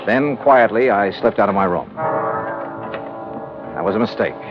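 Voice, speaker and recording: An actor playing a hard-boiled male insurance investigator who narrates his case in brisk, wry first person, in 1950s radio drama style. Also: lowish voice, lightly acted. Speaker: narrator-detective. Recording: muffled old radio with music.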